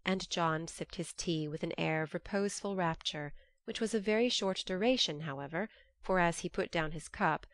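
A woman with a fairly low voice reads literary narration as an audiobook narrator. The recording is close and dry. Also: normal speed, dry recording.

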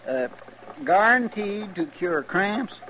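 An actor playing a gullible, good-natured frontier sidekick with an American rural Western drawl in a 1950s radio western. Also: male voice, high-pitched, sing-song, slow pace, bright, forceful tone, heavily acted. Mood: folksy. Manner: folksy, hesitant, earnest. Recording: dull, slightly noisy old radio recording.

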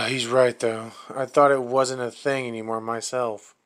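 A man's voice: medium pitch, little pitch movement, unhurried, a bit bright, lightly acted. A man playing a serious, protective young restaurant worker in an amateur audio drama, earnest and assertive. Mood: thoughtful.

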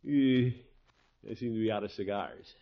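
An actor playing a genial, blustery middle-aged American uncle in a 1950s radio comedy, with a hammy, drawn-out delivery. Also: male voice, lowish voice, close to an everyday voice. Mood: warm, contented. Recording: muffled, noisy old radio broadcast.

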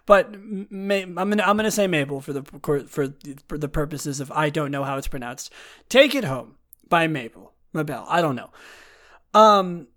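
A man with a raspy, worn-out throat hosting a casual solo episode. He rambles with frequent restarts and self-deprecating asides, and sometimes reads a written write-up aloud. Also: a little high, expressive, normal speed, a bit bright. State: hoarse, raspy throat from talking a lot. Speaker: podcast host.

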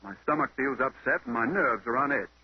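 A man's voice: mid-range voice, brisk, breathy edge, dull audio. An actor playing an earnest fireman giving a scripted testimonial in a 1930s radio advertisement, on an old broadcast recording.

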